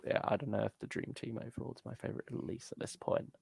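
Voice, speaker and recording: Gravelly, creaky-voiced man in casual discussion. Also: lowish voice, level tone, fast talker, darkish tone, dry recording. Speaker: co-host of a fan discussion show. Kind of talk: casual chat, reading out viewer comments.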